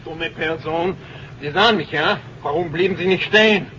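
An actor playing a paranoid, tyrannical German dictator in an old-time radio drama, with a German accent and a barking, clipped, imperious manner. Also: male voice, on the high side, moderate pace, pushed and bright. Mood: indignant, commanding. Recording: dull, noisy old radio recording.

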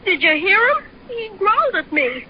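A bold, excitable young boy with a very high, lively voice, as a woman acts him out. He exclaims and chatters with bouncy energy. The recording is dull and lo-fi with a faint hiss. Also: moderate pace. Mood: excited. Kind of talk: 1930s radio drama acting. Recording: dull old radio transcription with faint hiss.

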